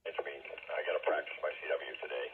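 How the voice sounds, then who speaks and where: A man's voice: a bass voice, level tone, fast, husky. A man in his sixties chatting casually about his hobby over a narrow, muffled radio link. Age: 60s. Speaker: amateur radio operator.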